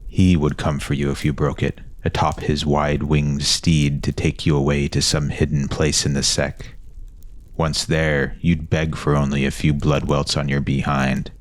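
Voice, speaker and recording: A man's voice: rumbling low, little pitch movement, measured pace, dry recording. A man narrating a scripted fantasy story as an audio storyteller.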